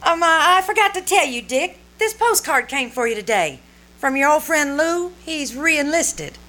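A woman's voice: high voice, very animated, normal speed, bright, forceful tone, full character voice. A woman playing a shrewd, coy young wife with a sweet front in an old-time radio comedy. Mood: sly, amused.

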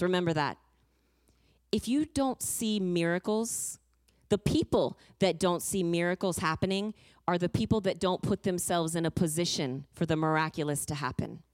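A woman's voice: fairly low pitch, no room sound. A woman preaching a fervent sermon, moving between emphatic declarations and a personal testimony told as a story. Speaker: guest preacher.

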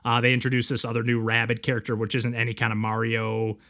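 A man's voice: mid-range voice, monotone, fast, sharp tone. A man hosting a podcast, chatting casually about video games in a rambling way peppered with filler words. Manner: rambling, frequent "like". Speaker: podcast host.

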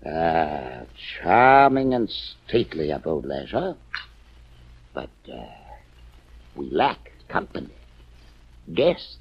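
An actor playing an urbane, pompous, cold-blooded villain posing as a doctor in a 1940s radio drama. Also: male voice, extremely low pitch, very animated, slow, long pauses, husky, full character voice. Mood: grandly leisurely. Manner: pompous, grandiloquent. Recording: muffled, hissy 1940s radio recording.

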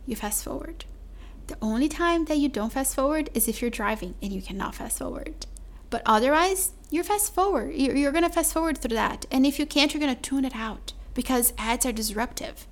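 Chatty woman with a higher voice. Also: animated, normal speed. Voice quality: mellow voice. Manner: chatty, calls listener "my love", says "like" often. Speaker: podcast host. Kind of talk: solo podcast sales pitch.

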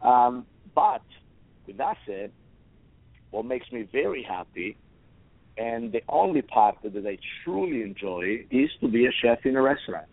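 Hoarse Italian man, guest on a call-in, dry tinny phone sound, rambling lists. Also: mid-range voice, sing-song, unhurried, dry recording. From Italy. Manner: rambling, restarts, listing. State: hoarse, losing his voice. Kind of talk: interview answers.

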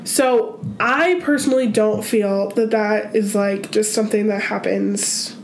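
A woman with a congested cold voice co-hosting a casual true-crime podcast, chatty and quick to laugh. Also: medium pitch, fairly even intonation, slight background noise. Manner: chatty, laughs easily, sings a line. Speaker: podcast co-host.

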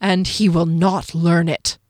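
A woman's voice: lowish voice, flat delivery, takes it slowly, darkish tone, no echo, clean studio sound. A woman voicing a menacing ethereal cave guard who interrogates and commands with a hissing, accusing delivery in a fantasy audiobook. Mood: stern, threatening.

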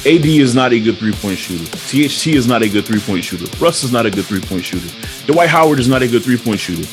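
A man co-hosting a podcast in casual chat, blunt and profane with drawn-out reactions. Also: mid-range voice, lively intonation, music in the background. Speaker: podcast co-host.

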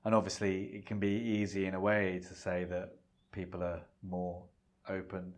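Very deep-voiced reflective man. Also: completely flat delivery, moderate pace. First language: Punjabi. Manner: reflective, rambling, with frequent fillers and restarts. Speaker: guest. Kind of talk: relaxed conversational interview.

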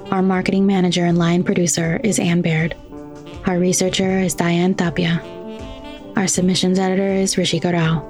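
A woman hosting a podcast, reading scripted announcements in a measured, clearly enunciated way. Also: lowish voice, a little mellow. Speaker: podcast host. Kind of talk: scripted credits narration. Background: faint music.

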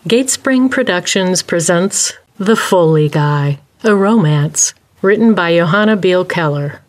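Female announcer, lowish pitch, scripted credits read for an audio drama.

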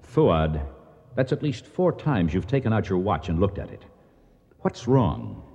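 An actor playing a kind, earnest gentleman archaeologist in a radio drama, polite and pleading in manner. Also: deep voice, huge pitch swings, unhurried, creaky, breathy edge, lo-fi sound, full character voice. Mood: alarmed, questioning. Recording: long echoing reverb.

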